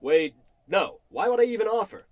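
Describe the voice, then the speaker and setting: Male actor for jumpy salesman sidekick, radio comedy spoof. High, very animated, exasperated, dry close sound. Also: normal speed, no echo, lo-fi sound. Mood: worried, uneasy. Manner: anxious, exasperated. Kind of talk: parody old-time radio comedy acting.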